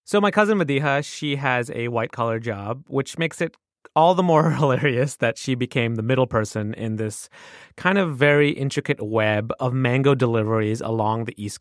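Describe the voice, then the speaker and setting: A man hosting a podcast, telling stories in an animated way and laughing often. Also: mid-range voice, no room sound, studio quality. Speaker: podcast host. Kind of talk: casual interview chat.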